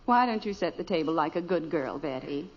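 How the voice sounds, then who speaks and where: An actress playing a composed, gently teasing middle-aged wife and mother, patient but firm, in old radio sitcom style. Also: low voice, lively intonation, fairly quick. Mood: pleasant, composed. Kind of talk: 1940s-50s radio sitcom acting. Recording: muffled, hissy old radio recording.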